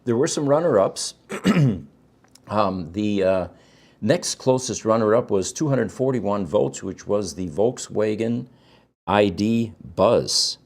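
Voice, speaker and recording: Man, middle-aged, German native, low-ish voice, halting, studio morning show host. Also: lively intonation. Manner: halting, many ums and uhs. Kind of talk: casual morning show chat. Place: studio set in front of a backdrop.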